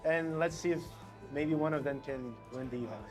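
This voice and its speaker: A man from Brazil, a fan at the event, greeting the camera in a soft, somewhat hesitant way. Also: on the high side, normal speed, music in the background.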